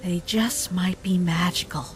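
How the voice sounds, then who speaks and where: A woman voicing a wise, nurturing mother deer in an animated comic dub, gentle and unhurried with a teasing side. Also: on the low side, soft background music, natural voice. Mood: tender, teasing.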